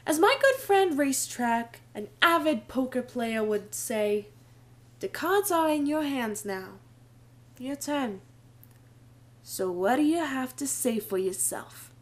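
A woman's voice: sits high, big pitch swings, slow, full character voice. A woman voicing a bold teenage girl who poses as a newsboy, in a fan audio drama. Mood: taunting. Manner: bold, cocky.

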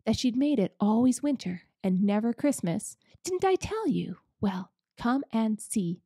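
A woman doing a shrill, excited talking beaver. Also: normal speed, dry recording, clean studio sound. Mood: excited, delighted. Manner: shouting, high and drawn out. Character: excited male talking beaver, bustling and glad.

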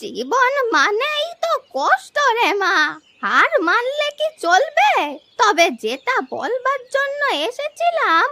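A woman's voice: very high voice, very animated, slow pace, pushed and bright, no echo, exaggerated voice. A woman voicing a kind, stubborn old village grandmother in a children's cartoon, with an affectionate, emotive manner. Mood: tender, caring.

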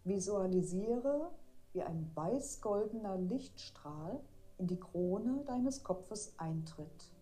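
A woman guiding a meditation in a gentle, deliberate, soothing manner. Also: mid-range voice, animated, rough recording. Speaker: meditation guide. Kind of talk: guided meditation narration. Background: meditation music.

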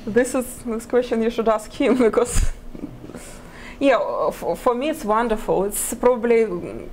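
A woman's voice: fairly high pitch, a little mellow, a little hiss or hum, poor audio. Woman in her thirties, native Russian speaker. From Ukraine. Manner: hesitant, halting, laughs often between phrases. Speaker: chess player guest. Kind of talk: casual interview answers. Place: indoor press area before a sponsor backdrop. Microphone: desk microphone.

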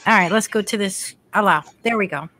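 A woman's voice: medium pitch, brisk. A middle-aged woman hosting a casual spiritual chat over a video call from her home, talking chattily and reading scripture aloud. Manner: chatty, warm affirmations, reads scripture aloud. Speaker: podcast host. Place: home room, indoors. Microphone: desk mic on video call.

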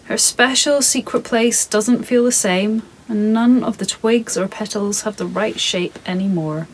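A woman in her thirties reading her picture book aloud to children in a soft, calm, measured way, recorded in her home studio. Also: medium pitch, a little hiss or hum, low-quality recording.

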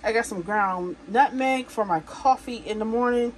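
A woman's voice: fairly high pitch, moderate pace, slight background noise. A woman casually chatting to camera as she shows her grocery haul, talking to viewers as "you guys" and "y'all". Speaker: vlogger showing a grocery haul.

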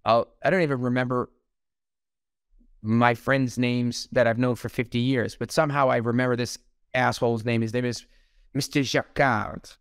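A man's voice: mid-range voice, steady tone, normal speed, clear, resonant tone, no room sound, clean studio sound. Blunt joking man on a video call. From Iran. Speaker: plastic surgeon, podcast host. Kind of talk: casual interview chat. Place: home room on a video call.

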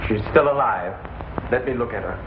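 An actor playing a grave, concerned scientist comrade in a 1950s television sci-fi serial. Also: male voice, mid-range voice, fairly quick, noisy background, natural voice. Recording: muffled 1950s TV soundtrack, loud music.

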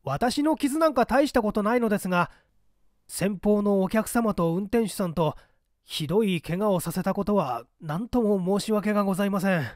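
腰が低く慌て気味のトラック運転手が丁寧に弁明する様子を演じる男性。. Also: male voice, sits high, no echo, clean studio sound, natural voice. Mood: apologetic, earnest. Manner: polite, apologetic, hurried explaining. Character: humble, flustered male truck driver giving testimony.

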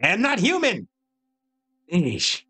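A man's voice: high voice, huge pitch swings, takes it slowly, bright, forceful tone, husky. A man giving casual reaction commentary as the host of a video.

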